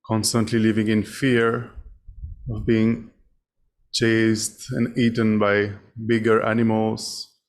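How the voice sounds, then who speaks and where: A man leading a guided meditation as a teacher, speaking in short, measured phrases with long pauses between them. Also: fairly low pitch, monotone. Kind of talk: guided meditation, spoken instruction.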